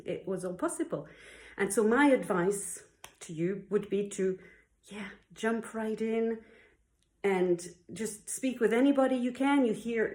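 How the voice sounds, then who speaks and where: A woman's voice: mid-range voice, darkish tone. A middle-aged woman from Belgium, a non-native English speaker, telling her story in a chatty, encouraging, casual way like a teacher on a vlog. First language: not English. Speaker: English teacher and video presenter. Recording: roomy, echoey indoor sound.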